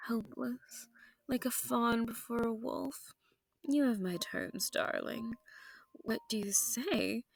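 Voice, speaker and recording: A woman playing a centuries-old, sadistic and possessive female vampire in an intimate audio roleplay, silky and taunting, slipping into breathy whispers. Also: mid-range voice, no echo. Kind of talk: intimate scripted audio roleplay addressed to the listener.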